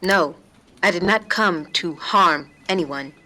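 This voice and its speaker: A woman's voice: lowish voice, takes it slowly, pushed and bright, a little hiss or hum. A woman playing an earnest, unflappable, literal-minded mother in an audio drama, speaking formally and very precisely. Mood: polite, literal. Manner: formal, stiffly precise, avoids contractions.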